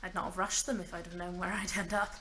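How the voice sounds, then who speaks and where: A bright, clear-edged mage voiced by a woman, marooned alone for centuries in an audio drama. She rambles to herself with bitter, wry self-mockery and a fragile edge, over noticeable background noise. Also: lowish voice. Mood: wistful. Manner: rambling, self-mocking asides, sighs. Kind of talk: audio drama monologue, naturalistic acting.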